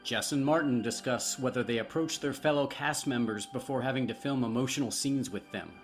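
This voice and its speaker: A man interviewing actors at a middle pitch, with faint music under his voice. He asks his questions plainly, with a few stops and fillers. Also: brisk. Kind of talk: interview questions and clip intros.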